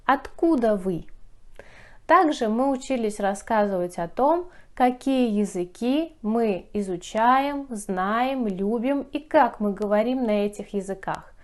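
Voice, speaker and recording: A woman's voice: a little high, expressive, a little slow, a little mellow. A woman from Russia teaching a language lesson, speaking carefully and repeating phrases for learners. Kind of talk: slow, clearly enunciated language lesson.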